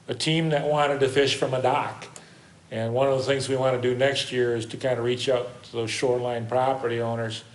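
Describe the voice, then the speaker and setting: A man giving a public comment at a lectern microphone in a township meeting, plainspoken and halting, with many fillers. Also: mid-range voice, reverberant. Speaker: public commenter, fishing club president.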